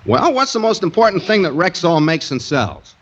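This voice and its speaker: An American actor playing a flippant, clowning, food-loving freeloader pal in a 1940s radio comedy. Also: male voice, sits high, sing-song, normal speed, a bit bright, exaggerated voice. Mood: smugly helpful. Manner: clowning, nonchalant. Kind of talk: 1940s radio sitcom acting. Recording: dull, very noisy 1940s radio recording.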